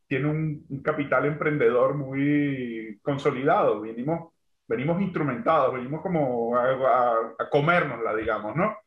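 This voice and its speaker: A man from Venezuela, a construction entrepreneur speaking as an interview guest. He speaks thoughtfully and fills pauses with "este" and "digamos, ¿no?". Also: mid-range voice. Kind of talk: remote interview. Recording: roomy, dull lo-fi sound.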